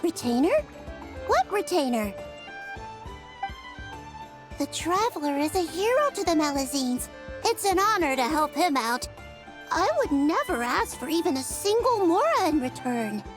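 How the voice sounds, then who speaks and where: A woman's voice: high-pitched, big pitch swings, measured pace, pauses often, over music. A woman playing a small, polite female magical creature in a video game, earnest, sweet and helpful.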